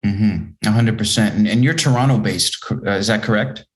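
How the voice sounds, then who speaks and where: A man's voice: on the low side, a little fast. A man hosting a casual music interview, asking questions in an informal, affirming way. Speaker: interview host.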